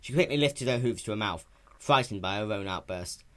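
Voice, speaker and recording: Male story narrator with lively pitch. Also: brisk. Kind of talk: dramatic fan story reading, scripted narration.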